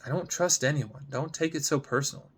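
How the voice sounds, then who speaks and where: A man's voice: fairly high pitch, a little fast, slightly rough, voice pushed up. A man voicing a guarded, hurt young woman in a dramatic screenplay reading, curt and exasperated. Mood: upset, guarded.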